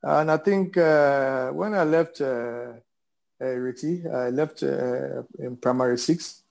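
A man in his fifties with a Nigerian accent giving reflective interview answers, haltingly and with hesitation, repeating words for emphasis. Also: medium pitch, creaky, a little mellow. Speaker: interview guest, businessman.

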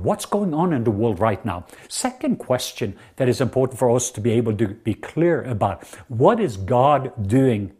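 A man's voice: mid-range voice, warm tone, husky. Middle-aged norwegian guy preaching, earnest and emphatic, lots of uhs. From southwest Norway. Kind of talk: sermon.

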